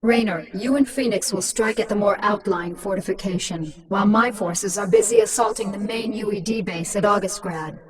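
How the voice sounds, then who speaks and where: A woman's voice: mid-range voice, moderate pace, hardly any pauses, crowd noise, close to an everyday voice. A woman as a ruthless alien queen, distant-sounding. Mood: commanding, calculating. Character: ruthless commanding alien queen, cold and scheming. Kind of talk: dramatic video game voice acting.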